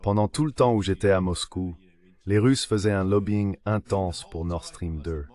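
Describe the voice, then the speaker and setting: Deep-voiced male voice-over, measured delivery. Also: darkish tone. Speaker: AI voice-over translating an interview guest. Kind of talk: dubbed translation of political analysis.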